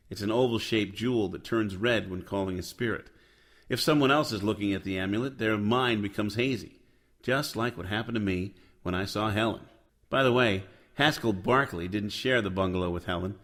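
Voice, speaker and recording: A man's voice: lowish voice, fairly bright tone, natural voice. An actor playing a hard-boiled private detective who narrates his case in a noir audio drama. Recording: music under the voice.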